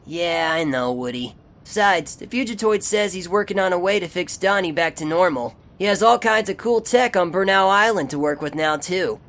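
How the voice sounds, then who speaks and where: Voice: mid-range voice, lively intonation, normal speed, few pauses, a bit bright. An actor playing an earnest, war-weary young male ninja turtle in an audio drama, speaking hesitantly in slang. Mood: downcast, frustrated. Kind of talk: fan audio drama acting.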